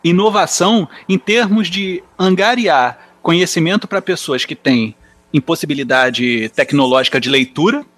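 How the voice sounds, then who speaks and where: A Brazilian man with a notably high voice talks as a podcast panelist. His manner is informal and enthusiastic, in the style of a casual group chat. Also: moderate pace, fairly bright tone.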